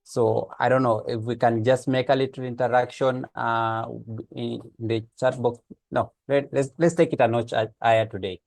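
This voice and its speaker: A man's voice: mid-range voice, level tone, moderate pace, gravelly, few pauses, a little mellow, dry recording. Man hosting a video call. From Kenya. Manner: friendly, hesitant with many uh fillers. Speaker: host of online office hours. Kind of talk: casual online meeting hosting. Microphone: computer mic on a video call.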